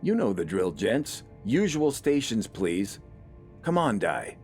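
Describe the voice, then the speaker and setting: A man voicing a steady, easygoing older village militia leader with a calm, wry authority in an audio story. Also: on the low side, takes it slowly, faint music behind, pitched above the performer's own voice, heavily acted. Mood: brisk, commanding.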